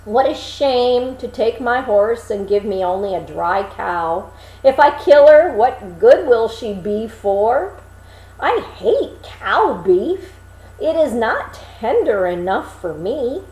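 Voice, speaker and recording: A woman voicing a naive, excitable young man in a storybook, with exclamatory, old-fashioned speech. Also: a little high, slow, roomy sound, poor audio. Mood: lamenting. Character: naive, excitable young man Hans, easily pleased and alarmed.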